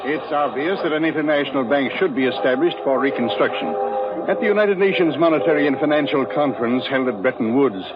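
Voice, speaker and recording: An actor playing a distinguished, pompous older gentleman with formal speech in a 1940s radio drama. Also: on the high side, expressive, fast, close to an everyday voice. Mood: pompous, earnest. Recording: muffled, noisy old radio recording.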